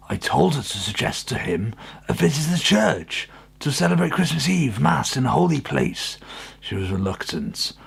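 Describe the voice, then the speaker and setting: An actor in a radio play as an earnest, middle-aged father and churchman, speaking gravely and formally of coming evil. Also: medium pitch, fairly bright tone, full character voice.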